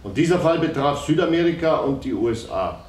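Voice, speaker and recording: A man's voice: a little high, expressive, fairly quick, darkish tone, lightly acted. A man playing a terse informant on the stand. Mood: matter-of-fact. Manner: short matter-of-fact answers. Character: terse male police informant under questioning. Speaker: informant testifying in court. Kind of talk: documentary reenactment of court testimony. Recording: echoey room with faint noise.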